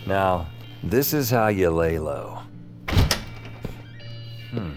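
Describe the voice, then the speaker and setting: A man's voice: sits low, expressive, very slow, full character voice. An actor playing an anxious night-shift dispatcher, narrating his misadventures in dry, clipped sentences. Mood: sour, wry. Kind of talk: first-person noir-comic audio drama narration. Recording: music under the voice.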